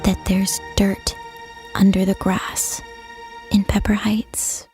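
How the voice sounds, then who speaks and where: Loud music swirls around an eerie, knowing woman in a drama trailer. She half-whispers, slow and ominous, hushed throughout. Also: medium pitch, flat delivery.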